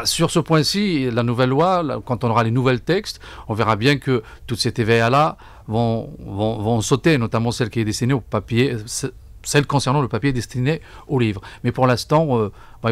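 A middle-aged man giving formal, deliberate answers in a radio interview, often repeating words as he searches for the next. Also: medium pitch, no room sound. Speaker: book fair coordinator, interview guest. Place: radio studio. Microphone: broadcast microphone on desk stand.

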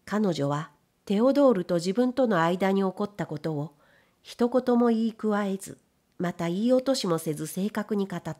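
A woman's voice: medium pitch, expressive, normal speed, darkish tone. A woman reading a literary novel aloud as an audiobook narrator, in a scripted storytelling style.

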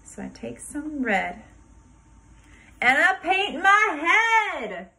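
A woman reads a rhyming storybook aloud like a kindergarten teacher. Her voice is high and very bright, and she takes her time. She swings into sing-song rhymes, pauses for effect and puts questions to her young listeners. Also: big pitch swings. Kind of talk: animated picture-book read-aloud for young children, sing-song rhymes.

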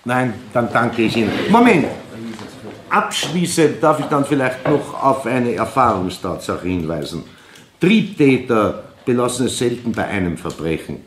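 Courteous Viennese police official, Austrian accent, formal, lively intonation, radio play, roomy echo. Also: male voice, mid-range voice, a little slow, a little hiss or hum. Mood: grave, cautioning. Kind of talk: radio drama acting. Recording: roomy echoing hall.

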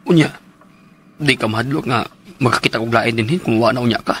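An actor playing an uneasy, resentful live-in boyfriend in a Cebuano radio drama, with a rough husky voice. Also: male voice, medium pitch, a little fast, a bit bright.

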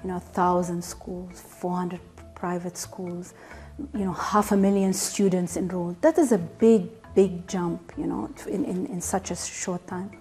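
A woman's voice: on the low side, steady tone, a little slow, mellow, soft background music. A woman from Oman giving interview answers for a promotional film.

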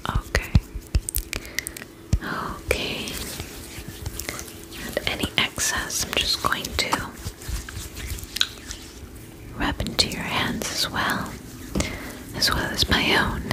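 A woman doing an ASMR roleplay as a spa attendant in a breathy whisper, soothing and repeating short reassuring phrases. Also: takes it slowly, pauses often, low-quality recording.

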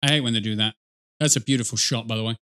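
A man's voice: medium pitch, steady tone, very fast, fairly bright tone, clean tone. A man in his thirties giving casual reaction commentary in a sweary, self-mocking way. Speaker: reaction video host, gamer.

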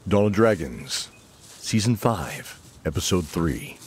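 A man's voice: low voice, sing-song, slow, slight background noise, full character voice. A man narrating a fantasy audio drama in a scripted storytelling style. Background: music.

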